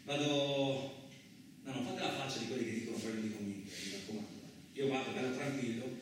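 An actor as a swaggering trucker in an echoey room. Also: male voice, medium pitch, steady tone, fairly quick, lightly acted. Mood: boastful, confiding. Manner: colloquial, muttering asides, swaggering. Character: boastful, hot-tempered, rough-talking Italian truck driver. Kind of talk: theatrical radio monologue. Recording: very echoey, distant, lightly noisy room.

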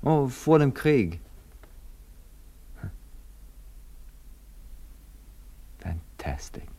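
An actor playing a curious, courteous tourist in a radio drama. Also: male voice, low voice, a little mellow, heavily acted. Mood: awed. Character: curious, courteous American male tourist marvelling at mountains.

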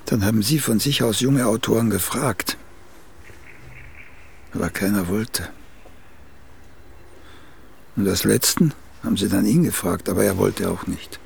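An actor playing a vain, condescending older playwright in a radio comedy, with a gravelly, husky voice and a drawn-out, self-important manner. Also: male voice, fairly low pitch, a little hiss or hum. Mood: needling, probing. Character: vain, aging celebrated playwright, condescending and touchy. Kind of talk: literary comedy radio play acting.

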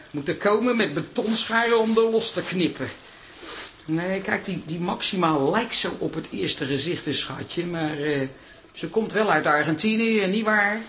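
An older toilet attendant in a radio play. She is excitable and gossipy, trips over her words, and her pitch swings widely as she chatters. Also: slight background noise. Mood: confiding, gossipy. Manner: flustered, gossipy, stammering.

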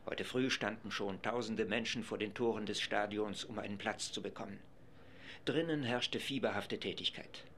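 A man delivers live radio commentary, his voice sitting a little low. He reports with urgency from a press gallery. A faint hiss runs under the recording. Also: moderate pace, a bit bright.

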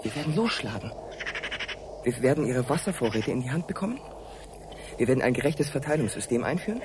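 A militant male rebel played by an actor in a radio play, insistent and agitating. His mid-pitched voice swings widely, with loud ambient noise behind it. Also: animated, a little fast, lightly acted. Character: thirsty, militant male rebel in a dying colony. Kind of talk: German radio drama acting.

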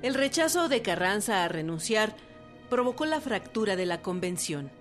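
A woman narrates scripted history for radio. Her voice is medium in pitch. Music runs clearly behind her. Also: sing-song, normal speed.

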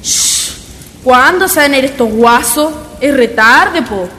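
A woman's voice: high voice, lively intonation, slow pace, sharp tone, exaggerated voice. A woman voicing a weary, grumbling kitchen worker in a radio drama. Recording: long reverb, faint noise.

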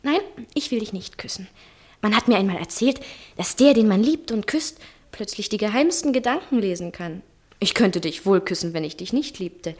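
A woman acting in a 1960s German radio play as a capricious young lover, theatrical and teasing, often drifting into breathy murmurs. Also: medium pitch, expressive, normal speed, darkish tone, lightly acted. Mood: coquettish, wistful. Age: young adult. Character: dreamy, coquettish, capricious young woman in love.

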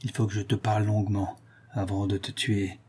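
A man voicing a cruel, vengeful criminal brute with a slow, hollow, menacing delivery in a storybook reading. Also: mid-range voice, animated, mellow, breathy edge, voice pushed up. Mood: cold vengeance.

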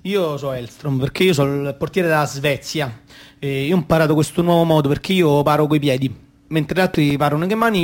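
An actor playing a boastful, aggrieved goalkeeper in a theatre monologue, with a Roman accent and a rambling, halting, self-justifying manner. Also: male voice, fairly high pitch, lightly acted. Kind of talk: colloquial comic theatre monologue.

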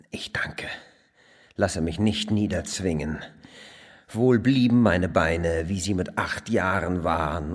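An actor playing a bitter, self-loathing crippled pawnbroker in a radio drama, theatrical and halting, often dropping to a whisper. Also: male voice, low voice, slightly rough, heavily acted. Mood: wounded, proud. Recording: long hall reverb.

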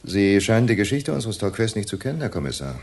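Smug small-town newspaper boss, radio crime play, deep voice, very theatrical and swoopy. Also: male voice, very animated, fast, darkish tone, slightly rough, exaggerated voice. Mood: knowing, ominous. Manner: theatrical storytelling, knowing, self-assured. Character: shrewd, self-assured male local newspaper chief. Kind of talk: German radio crime drama acting.

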